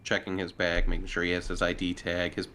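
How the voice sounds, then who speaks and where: Low-voiced male tabletop player. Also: monotone, fairly quick, sharp tone. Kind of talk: casually describing his game character.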